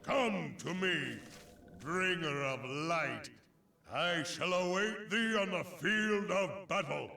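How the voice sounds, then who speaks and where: An actor playing an ancient, stern lord of lightning who judges mortals in grave, archaic fantasy game oratory. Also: male voice, sits high, slow pace, creaky, exaggerated voice. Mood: commanding. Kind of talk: theatrical fantasy game voice acting. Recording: echoing voice under loud music, distant.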